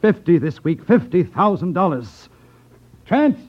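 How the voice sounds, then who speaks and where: A man's voice: high voice, very animated, a little slow, heavily acted. An actor playing a blustering middle-aged crooked businessman, formal and indignant, in a 1950s radio detective drama. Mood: panicked, outraged. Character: blustering, aggrieved crooked racket boss, middle-aged man. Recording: muffled, narrow-band 1950s radio recording.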